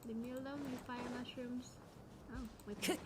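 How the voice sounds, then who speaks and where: A woman's voice: a little high, fairly even intonation, moderate pace, heavy background noise. A woman giving casual, slangy reaction commentary while playing a video game. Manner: informal, slangy. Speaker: gamer, streamer. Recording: loud game audio behind the voice. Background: game sounds and ambience.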